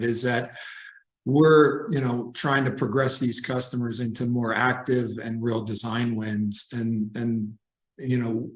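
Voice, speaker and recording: Halting male executive in echoey room. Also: medium pitch. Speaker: company executive. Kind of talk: earnings call answer. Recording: echoey, roomy call audio.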